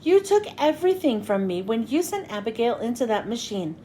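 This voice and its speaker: A woman's voice: on the high side, very animated, a little fast, warm tone, slight background noise. A woman voicing a bitter, vengeful male professor villain, accusing and dramatic, in a storybook reading. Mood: vengeful anger.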